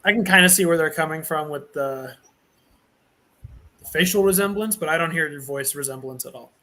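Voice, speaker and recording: Bright, slightly high male host, eager and chatty, a bit halting. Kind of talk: casual chat.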